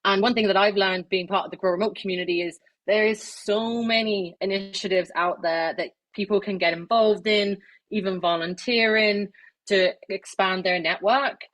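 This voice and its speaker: Woman, webinar speaker, chatty and casual, mid-pitched, lots of fillers and restarts. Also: a bit bright. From not Irish, living in Ireland. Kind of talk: informal presentation on networking. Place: online webinar, video call.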